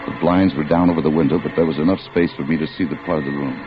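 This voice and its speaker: Vintage radio drama: bitter, slangy American husband, down on his luck and tough-talking, deep voice, muffled recording. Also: steady tone, rapid-fire, background noise. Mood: brooding, confiding. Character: bitter, defensive out-of-work husband telling his own crime story. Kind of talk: 1940s-50s American radio acting, hardboiled first-person narration.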